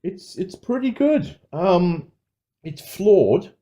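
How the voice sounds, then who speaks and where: A man's voice: sits high, big pitch swings, takes it slowly, mellow. A man co-hosting a podcast, reviewing films in an informal, self-correcting way. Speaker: podcast co-host. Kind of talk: casual film review chat.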